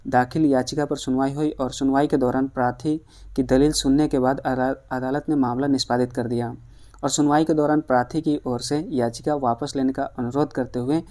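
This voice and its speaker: A news presenter talks through updates in an explanatory way at a medium pitch. The delivery is direct, addressing listeners as friends. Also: steady tone, fairly quick, dark tone. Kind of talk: explanatory news commentary for online viewers.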